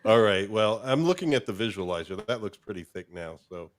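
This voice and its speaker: Low-voiced man hosting a casual show. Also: lively intonation, fairly quick, crowd noise. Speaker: host of a fan fiction show. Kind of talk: casual chat.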